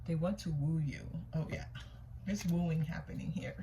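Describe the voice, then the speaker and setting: A woman's voice: sits low, moderate pace, dark tone. Woman reading tarot over noticeable background noise. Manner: conversational and informal, repeats herself, muses and questions herself. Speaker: tarot reader hosting a reading. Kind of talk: casual intuitive card reading, thinking aloud. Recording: clear background noise, room ambience.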